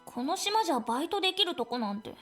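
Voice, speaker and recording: A woman voicing a brash, blunt teenage tomboy schoolgirl in a visual novel game, with rough slangy speech. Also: extremely high pitch, lively intonation, rapid-fire, a bit bright, soft background music. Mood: doubtful, reserved.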